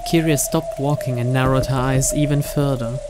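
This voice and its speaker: A man reading visual novel text aloud in a steady, even narration. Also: medium pitch, moderate pace, heavy background noise. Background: game music.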